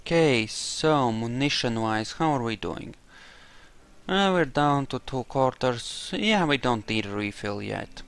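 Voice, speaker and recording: A man's voice: medium pitch, lively intonation, a little slow, fairly bright tone, smooth. A man giving casual gameplay commentary, wry and musing to himself as he plays. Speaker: gamer doing a playthrough.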